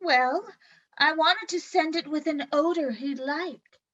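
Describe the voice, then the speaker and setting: Very high-pitched bashful lovesick girl. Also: takes it slowly, fairly bright tone, dull audio. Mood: nervous, earnest. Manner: timid, naive, plaintive. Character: bashful, lovesick, naive female servant or young admirer. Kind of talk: old-time radio comedy acting.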